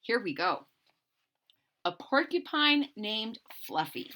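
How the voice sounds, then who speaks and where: A woman reading a children's story aloud with exaggerated, drawn-out emphasis and chatty asides to her listeners. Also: on the high side, very animated, sharp tone. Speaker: storybook read-aloud host.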